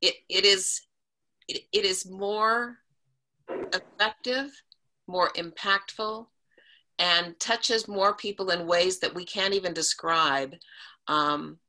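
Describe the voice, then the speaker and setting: A woman's voice: lowish voice, rough recording. A middle-aged woman hosting a virtual award event from a home room, giving effusive praise over a laptop mic. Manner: warm-hearted, effusive praise. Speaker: event host. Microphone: laptop video call.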